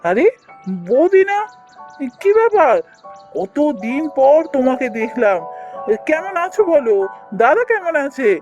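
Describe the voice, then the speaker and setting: Kindly, earnest village brother for a cartoon folktale, played by a man: very high male voice, emotional delivery, music underneath. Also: sing-song, unhurried, long pauses, a little mellow, highs cut off, heavily acted. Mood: surprised, concerned. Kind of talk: Bengali fairy-tale cartoon dubbing.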